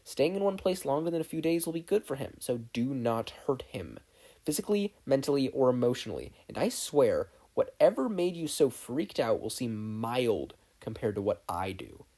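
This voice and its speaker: Young warrior woman for audio fan fiction, acted by a narrator: mellow, firm, reassuring, fiercely protective. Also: mid-range voice, big pitch swings, moderate pace. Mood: stern warning.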